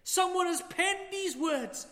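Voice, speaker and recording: A man preaching a chapel sermon, earnest, with rhetorical questions and swells and drops in loudness. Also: very high voice, takes it slowly. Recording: long hall reverb.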